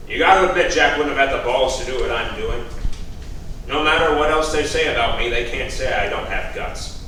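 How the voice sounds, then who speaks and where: A man's voice: mid-range voice, fairly quick, bright, forceful tone, slight background noise, close to an everyday voice. Man playing a bitter, combative politician. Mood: defiant. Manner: accusing, biting delivery. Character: bitter, suspicious male politician, proud and combative. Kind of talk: staged musical reading. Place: stage. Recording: echoey stage from far off, music.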